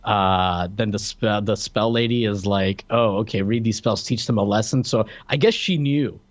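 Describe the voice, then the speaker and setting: A man's voice: lowish voice, moderate pace. A man co-hosting a podcast, recapping and chatting casually, with hesitant, stammered repeats of words. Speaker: podcast co-host reviewing films. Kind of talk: casual conversational plot recap.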